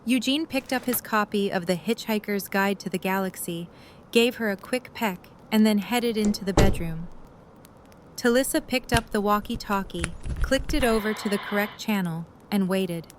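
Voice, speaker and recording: A woman's voice: mid-range voice, normal speed, background noise. A woman narrating a scripted story in an audio drama.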